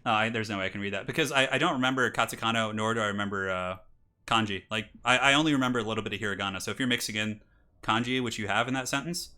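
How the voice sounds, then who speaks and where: A bright, chatty man in his thirties hosts a live game stream. He reads out donations and chats with viewers, then casts a strategy game match. His match intros rise to hyped announcing. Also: fairly low pitch, very fast. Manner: chatty, reads donations aloud, laughs often. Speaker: streamer and tournament caster. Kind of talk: casual live-stream chat and game commentary.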